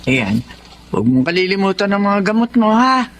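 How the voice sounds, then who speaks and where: A woman's voice: medium pitch, big pitch swings, normal speed. An actress playing a caring, reassuring wife and mother in a radio drama. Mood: caring, puzzled. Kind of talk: Tagalog radio drama acting.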